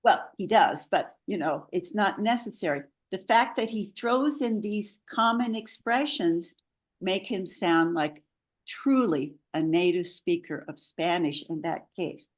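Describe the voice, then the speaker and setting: A woman's voice: lowish voice, a little slow. A woman English coach hosting an online webinar, encouraging participants with praise over a video call.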